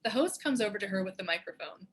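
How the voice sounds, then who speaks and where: A woman with a husky voice reading scripted stage directions as the narrator of a table read. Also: medium pitch, lively intonation, fast, a little hiss or hum. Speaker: narrator and show co-creator.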